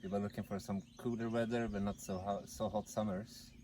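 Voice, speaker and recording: A man's voice: fairly low pitch, level tone, fairly quick, warm tone. A man from Sweden, speaking hesitantly as an interview guest outdoors at a building site. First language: Swedish. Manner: hesitant, repeats words. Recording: distant outdoor voice, faint hiss.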